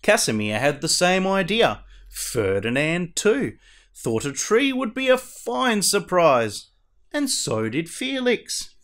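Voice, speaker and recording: A man playing a costumed superhero who reads a children's storybook aloud in a theatrical, deliberate way. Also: high-pitched, sing-song, a bit bright, full character voice. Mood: animated storytelling. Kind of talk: animated picture-book read-aloud.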